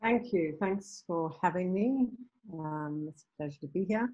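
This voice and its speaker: A woman's voice: lowish voice, expressive, unhurried, dark tone, highs cut off. A middle-aged woman from Africa, a guest on a video call interview, talking thoughtfully with frequent ums and restarts. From South Africa. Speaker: guest, nonprofit founder. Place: home room with bookshelves.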